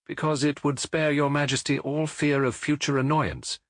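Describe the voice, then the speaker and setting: A man's voice: a little high, steady tone, moderate pace, fairly bright tone, no echo, studio quality, voice pushed up. A man voicing a cool, incisive detective with precise, formally polite speech, as in an audiobook. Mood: assured. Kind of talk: audiobook character acting.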